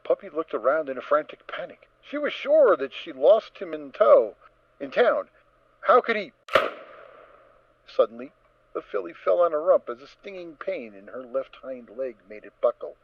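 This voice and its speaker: A man narrating a scripted story in a theatrical, expressive storytelling manner. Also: on the high side, normal speed, highs cut off, slight background noise.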